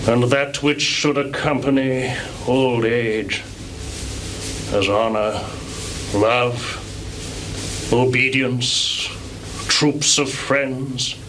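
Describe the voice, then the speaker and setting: An actor playing a weary, bitter, aging warrior king in a live Shakespearean stage recital, declaimed deliberately with heavy pauses. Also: medium pitch. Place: small theatre stage, live performance. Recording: noisy 1980s live theatre recording.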